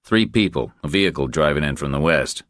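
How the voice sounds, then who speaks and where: A man's voice: a bass voice, lively intonation, a little fast, fairly bright tone, dry recording, studio quality. A man voicing a tough, observant investigator in an audiobook, speaking in clipped, laconic, matter-of-fact phrases. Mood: alert, analytical. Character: terse, observant tough male investigator, adult. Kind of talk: audiobook character dialogue.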